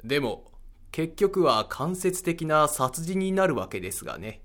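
A man playing a calm, courteous, enigmatic figure in an audio drama, speaking in measured, fatalistic phrases. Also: a little high, full character voice. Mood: grave, explanatory. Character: calm, enigmatic, courteous man who knows ancient secrets.

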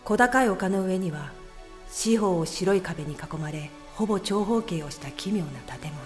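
A woman acting as the solemn, unhurried narrator of a mysterious audio drama. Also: fairly low pitch, faint music behind, natural voice.

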